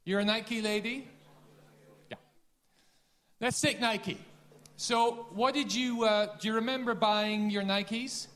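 A man with a Dutch accent, a business school dean giving a lively conference talk and quizzing the audience. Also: high voice, slow pace, long pauses. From Netherlands. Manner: lively, quizzes the audience, self-deprecating asides.

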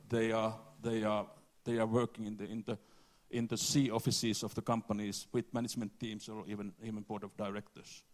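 A man giving a conference presentation, halting and repeating words as he goes. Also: mid-range voice. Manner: halting, repeats words, non-native phrasing. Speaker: conference speaker.

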